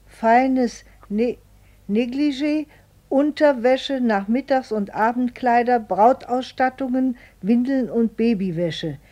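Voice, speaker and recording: Radio play wife: unhurried, mellow voice, deliberately reading out another person's letter. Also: female voice, on the high side. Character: postman's wife reading someone else's letter aloud.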